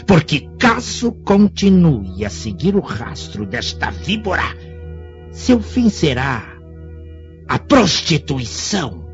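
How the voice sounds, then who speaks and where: A cynical old Egyptian sorcerer in a Brazilian Portuguese radio drama. His fairly high voice swings widely and moves slowly, heavy with menace and grandiose doom. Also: heavily acted. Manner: ominous, grandiose, theatrical pronouncements. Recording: muffled radio drama recording with music.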